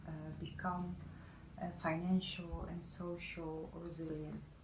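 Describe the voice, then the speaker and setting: A woman from the Netherlands giving explanatory interview answers as a guest, halting between short phrases. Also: on the low side, little pitch movement. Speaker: guest, saving circles trainer. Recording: echoey room, faint background noise.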